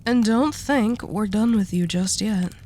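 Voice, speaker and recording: A female mafia boss, acted by a woman, speaks at a medium pitch over clear background noise. She is composed and scheming, handing out condescending endearments in a seductive, teasing roleplay style. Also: unhurried, warm tone, lightly acted. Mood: cool, scheming. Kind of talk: intimate romantic audio roleplay acting.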